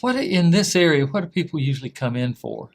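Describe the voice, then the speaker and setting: Halting man hosting a TV interview. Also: a little high, big pitch swings. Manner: halting, repeats words while asking questions. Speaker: host.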